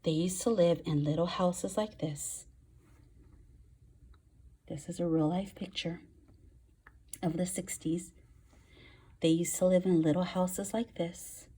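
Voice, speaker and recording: A woman's voice: fairly low pitch, steady tone, slow pace, pauses often, warm tone, breathy edge. A woman teaching first graders, reading aloud and explaining things in simple words with emphasis on key words. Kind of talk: read-aloud lesson for young children.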